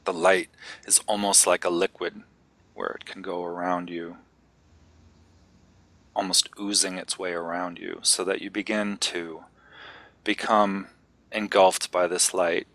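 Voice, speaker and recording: A man leading a guided meditation, giving gentle, unhurried instructions with long pauses between phrases. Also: deep voice, little pitch movement, no room sound.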